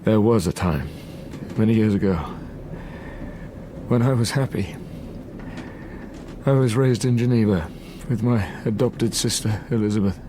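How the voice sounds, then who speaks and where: An actor playing a dying, tormented man who gravely narrates his past in a formal audio drama. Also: lowish voice, unhurried, long pauses, background noise, lightly acted. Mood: wistful, brooding.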